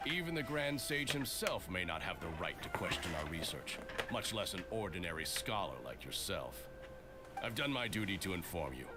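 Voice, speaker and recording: An actor playing a stern, officious soldier in a video game, speaking formally and curtly with cool menace. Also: male voice, low voice, big pitch swings, normal speed. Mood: cold, officious. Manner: formal, curt, unyielding. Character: stern, officious male soldier agent, coolly threatening. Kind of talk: scripted video game voice acting. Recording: loud game music behind the voice.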